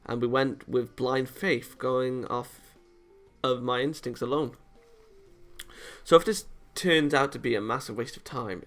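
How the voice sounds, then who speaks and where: A man reading visual novel narration aloud as a let's player, in an expressive, dramatised way. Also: mid-range voice. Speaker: video game let's player.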